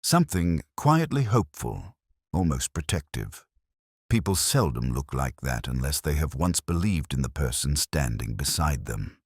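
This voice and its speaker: A man narrating a scripted detective story in the first person, with a measured, reflective, literary delivery. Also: extremely low pitch, very animated, breathy edge, no echo, clean studio sound.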